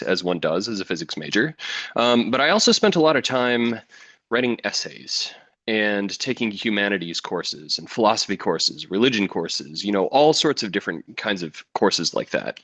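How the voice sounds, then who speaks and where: A man in his thirties from Wisconsin with an American accent, presenting a physics education webinar in a relaxed, chatty way with many ums. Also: fairly low pitch, dry recording. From Wisconsin, United States. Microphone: computer mic on a video call.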